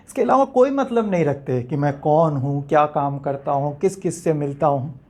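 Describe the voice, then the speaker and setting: An actor performs an enigmatic man in a stage monologue, mid-pitched for a male or a little above. He is philosophical and unsure of himself, putting rhetorical questions to the audience. His delivery is reflective, with deliberate pauses. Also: darkish tone.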